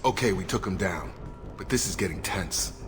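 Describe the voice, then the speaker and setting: An actor playing a loyal, frank soldier in a video game, speaking in an earnest, firm, plain-spoken way. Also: male voice, sits low, expressive, moderate pace. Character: steady, loyal male soldier, frank and dutiful. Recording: reverberant hall under music.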